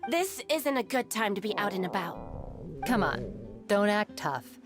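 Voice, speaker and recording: A woman's voice: medium pitch, big pitch swings, unhurried, over music. A woman voicing a reserved, reluctant guard in a video game. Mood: reluctant, resigned.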